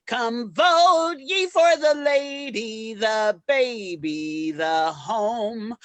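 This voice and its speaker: A woman's voice: a little high, fairly bright tone, no echo. A woman performing a dramatic recitation as a reader's theater performer.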